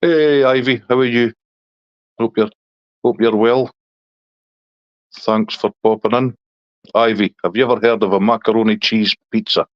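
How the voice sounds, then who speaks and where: Chatty low-voiced Scottish man. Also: steady tone, normal speed, long pauses, dry recording, highs cut off. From Scotland. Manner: chatty, reads and answers viewer comments. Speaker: livestreamer chatting with viewers. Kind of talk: casual live stream chat.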